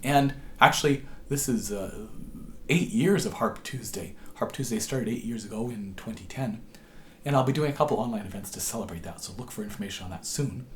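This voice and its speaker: Hoarse, low-voiced harp teacher. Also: male voice, brisk, a little hiss or hum. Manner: patient, explanatory, voice dropping to whispers. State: hoarse from a cold. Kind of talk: instructional tutorial.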